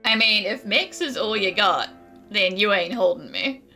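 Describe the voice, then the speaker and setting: A woman's voice: mid-range voice, lively intonation, normal speed, pushed and bright, soft background music. An actress playing a cheeky, unimpressed young woman in a video game, with slangy, sarcastic, casual delivery. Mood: mocking.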